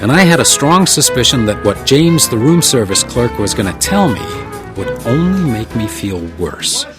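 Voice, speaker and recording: A man's voice: mid-range voice, sing-song, moderate pace, close to an everyday voice. A man plays a shrewd, world-weary house detective in a noir radio play. His mid-range voice moves expressively, wry and guarded, over a music bed. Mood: brooding. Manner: probing, wry, hardboiled. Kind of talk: radio drama acting with first-person noir narration.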